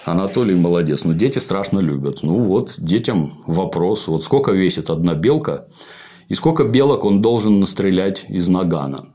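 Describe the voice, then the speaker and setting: A man's voice: low voice, expressive, moderate pace, warm tone. A middle-aged man talking bluntly and sarcastically as host in a casual chat. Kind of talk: casual podcast chat, critical review.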